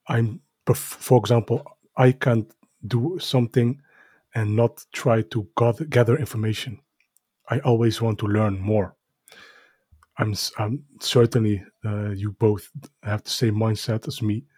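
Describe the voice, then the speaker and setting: A man's voice: lowish voice, mellow, slightly rough, no room sound. A man with a Dutch accent, a native Dutch speaker, talking casually in English with many hesitations and fillers. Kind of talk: casual podcast conversation.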